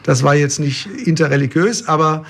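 A man in his fifties, a pastor, telling his story in an interview with relaxed hesitations. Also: on the high side, moderate pace. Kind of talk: interview conversation.

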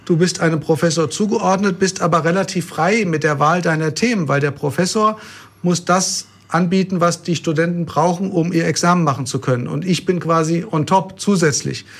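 A middle-aged man from Germany, a pastor, talking about his life in a relaxed interview with dry humor and plain explanations. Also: a little high, brisk. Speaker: pastor and guest. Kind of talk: casual interview talk.

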